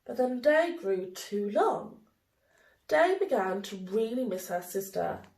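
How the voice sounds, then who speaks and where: In a roomy, echoing space, a woman reads a children's story aloud. She takes her time, with an animated, deliberate storytelling delivery and a mid-range voice. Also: mid-range voice. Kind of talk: expressive children's storybook reading. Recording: roomy, echoey indoor sound.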